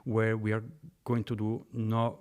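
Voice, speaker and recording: Italian-accented man, earnest and warm-toned. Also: lowish voice, unhurried, clear, resonant tone. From Italy. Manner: earnest, non-native phrasing, animated bursts. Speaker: guest, gelato business owner. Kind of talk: interview conversation.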